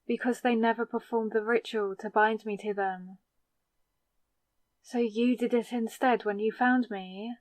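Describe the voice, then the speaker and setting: Casting: actress as freshly turned female vampire for audio roleplay. Slightly high voice, unhurried delivery, defiant and exasperated. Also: fairly even intonation, no echo, lightly acted. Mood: incredulous, upset. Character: newly turned young woman vampire, sarcastic, rattled, defiant. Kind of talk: scripted ASMR audio roleplay.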